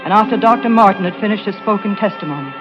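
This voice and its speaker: A woman's voice: mid-range voice, quick pace, close to an everyday voice. An actress playing a frightened, haunted woman on trial who narrates her nightmare in a 1940s radio drama. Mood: stunned, bitter. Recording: muffled old radio recording, music behind.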